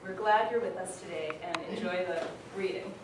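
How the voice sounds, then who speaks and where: A woman's voice: lowish voice, moderate pace. Woman welcoming a hall, very echoey. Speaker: presenter introducing the performance. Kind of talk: informal welcome speech. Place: hall before an audience. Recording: echoey hall, distant mic, clear noise.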